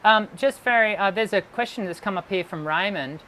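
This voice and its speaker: A man, a voice teacher, hosts a live stream, reading viewer questions and answering in a measured way. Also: high voice, pushed and bright, a little hiss or hum, low-quality recording. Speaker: host and voice teacher. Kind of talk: live-stream show hosting.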